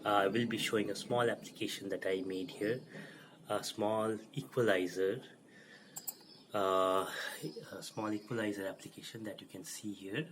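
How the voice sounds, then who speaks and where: A man giving an informal technical walkthrough as presenter of an electronics demo, explaining haltingly and repeating himself. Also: on the low side, fairly even intonation, noisy background. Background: music playing from the demo.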